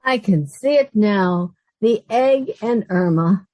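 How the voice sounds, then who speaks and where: An actress playing a sarcastic, unimpressed roommate with deadpan wisecracks in 1940s radio sitcom style. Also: female voice, medium pitch, takes it slowly, no room sound. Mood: dry sarcasm.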